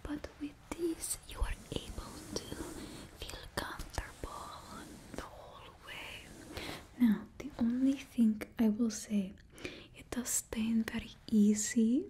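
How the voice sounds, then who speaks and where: A woman's voice: on the high side, takes it slowly. A woman in her twenties whispering closely in a put-on Russian accent, as the host of an ASMR roleplay. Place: indoors in front of a studio backdrop. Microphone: close microphone.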